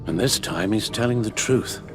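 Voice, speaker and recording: A man's voice: fairly low pitch, moderate pace, music in the background, natural voice. A man voice-acting a courteous older butler with a dry, wry manner in a video game. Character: dry, caring older male butler and confidant.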